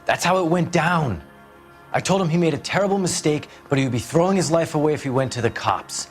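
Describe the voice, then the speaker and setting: A man's voice: on the high side, lively intonation, fairly quick, soft background music, lightly acted. An American man voicing a smug, evasive, cynical writer under police questioning in a crime game, with a sardonic, articulate manner. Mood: calculating. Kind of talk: video game voice acting, 1940s crime drama.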